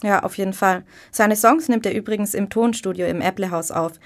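A woman's voice: medium pitch, a little fast. Chatty woman hosting a radio magazine. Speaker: radio host.

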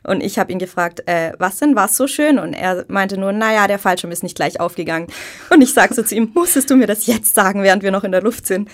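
A woman's voice: medium pitch, lively intonation, brisk. A woman hosting a radio magazine in a chatty, conversational moderating style. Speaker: radio host.

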